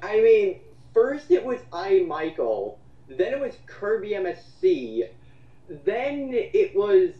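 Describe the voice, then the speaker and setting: Man, higher-pitched, lively intonation, sarcastic, profane, casual commentary, distant mic in an echoey room. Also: takes it slowly. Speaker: co-host reading and mocking online comments. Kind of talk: casual mocking commentary chat. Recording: roomy, distant, slightly dull mic.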